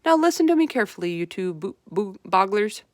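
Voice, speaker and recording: A woman's voice: mid-range voice, big pitch swings, a little fast, dry recording, studio quality, lightly acted. A woman voicing a big, friendly old male giant who mangles his words, read aloud from a storybook. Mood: earnest. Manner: mangled words, folksy, earnest.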